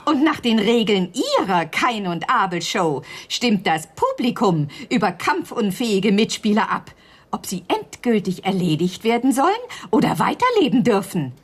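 An actress playing a fierce, vindictive woman in a radio drama, scornful and biting, with a rough-edged voice. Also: mid-range voice, very animated, moderate pace. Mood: cold, vindictive. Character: fierce, vindictive, foul-mouthed woman contestant confronting a rival.

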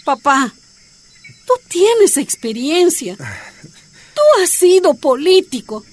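A suffering exiled woman in a radio drama. Her voice is very high and slow, swinging widely as she questions and pleads plaintively. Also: big pitch swings, heavily acted. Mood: despairing. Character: suffering, exiled miners' activist, daughter and wife.

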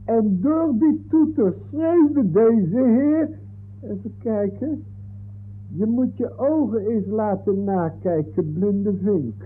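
A man's voice: high-pitched, takes it slowly, husky, heavily acted. A man playing an aggrieved, pedantic football referee testifying formally in a comic radio play. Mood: indignant, fussy. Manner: indignant, formal, fussily consulting notes. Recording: dull 1960s radio recording.

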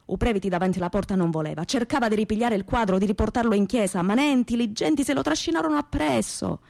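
A woman's voice: fairly high pitch, quick pace, vocal fry, a little mellow, no echo, studio quality. Proud young Calabrian woman, closely recorded. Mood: earnest, fervent. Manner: earthy, colloquial, repetitive folk storytelling. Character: plain-spoken, proud young working-class southern woman recounting memories. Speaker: stage actress performing a monologue. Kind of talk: dramatic first-person storytelling in dialect-tinged Italian radio theatre.

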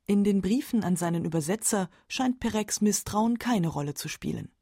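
A woman narrating a scripted radio documentary in a measured, matter-of-fact way. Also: mid-range voice, lively intonation, mellow, dry recording, studio quality.

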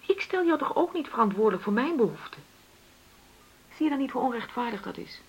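An actress playing a hurt, argumentative middle-aged wife in a Dutch radio play, with a pointed, insistent way of questioning. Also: female voice, fairly high pitch, very animated, brisk, breathy edge, poor audio. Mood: insistent reproach.

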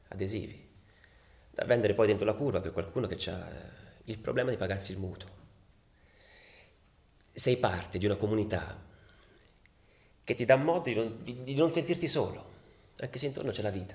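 An actor playing a passionate, embittered football ultra in a halting, colloquial confessional monologue for radio theatre. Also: male voice, deep voice, creaky, a little mellow, slight background noise. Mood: reflective, intimate. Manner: halting, colloquial, dropping to near-whispers.

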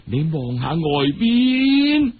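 A man voicing an excitable, furtive peasant husband in a told story, repeating his exclamations. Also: very high voice, very slow, crowd noise, pitched above the performer's own voice, exaggerated voice. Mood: furtive, hushing. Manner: exclaiming, hushing, repetitive. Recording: muffled, phone-like old radio recording.

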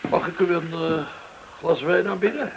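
An actor in a radio drama playing a courteous, smooth-talking gold dealer, effusively polite and theatrical in manner. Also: male voice, a little high, moderate pace, close to an everyday voice, poor audio. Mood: jovial hospitality. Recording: hissy old radio drama recording.

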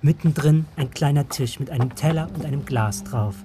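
A man's voice: fairly high pitch, moderate pace, background noise, natural voice. An actor playing a man who recalls a secretive boyhood friendship in reflective, literary first-person radio drama narration. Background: loud music.

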